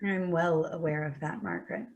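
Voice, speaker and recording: A woman's voice: on the low side, steady tone, moderate pace, vocal fry, muffled recording. A woman playing an exasperated, defensive adult daughter in a staged play reading, speaking tersely and bristling. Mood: guarded, terse.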